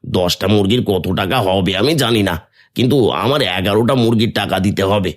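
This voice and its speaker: A man voicing Nimai, a poor and kind village man in a Bengali cartoon, who talks earnestly and thinks out loud. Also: fairly low pitch, a little fast, close to an everyday voice. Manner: earnest, thoughtful, speaking his thoughts aloud. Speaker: voice actor.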